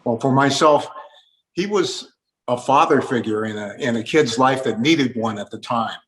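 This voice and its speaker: A man's voice: medium pitch, moderate pace, fairly bright tone. A man hosting a reflective interview, asking guests for their memories. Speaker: interview host. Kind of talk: radio tribute interview.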